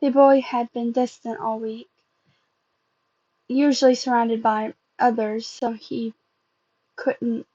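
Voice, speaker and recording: A woman reading fan fiction aloud as an amateur, in a halting way, often stumbling and repeating phrases. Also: fairly high pitch, level tone, dark tone, no room sound, dull audio.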